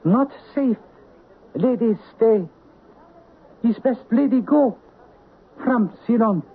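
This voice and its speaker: Slow, husky, deferential island servant with broken English; tinny old radio sound. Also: male voice, very high voice, lively intonation, full character voice. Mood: deferential warning. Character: loyal island manservant, halting broken speech. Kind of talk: 1970s radio drama acting.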